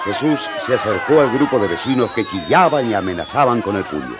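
A man's voice: fairly low pitch, expressive, fairly quick, loud music behind the voice. A man narrating a radio drama as a vivid, dramatic storyteller. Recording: crowd noise behind.

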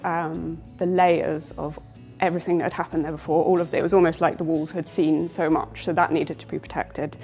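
A woman's voice: low voice, level tone, fairly quick, a little mellow, soft background music. A young woman, an interior design student, speaking reflectively and hesitantly with ums, in an ornate synagogue hall. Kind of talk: reflective presentation.

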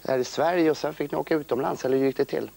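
A man's voice: fairly high pitch, fairly even intonation, gravelly, darkish tone. A young man hosting a children's TV interview in a casual, playful, joking way, speaking into a handheld microphone in a studio. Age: young adult. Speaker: TV host.

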